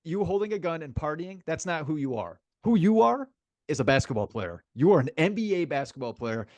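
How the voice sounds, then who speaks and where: A man's voice: fairly high pitch, no room sound. A man co-hosting a sports podcast in casual, opinionated chat, emphatic and informal, repeating phrases for emphasis.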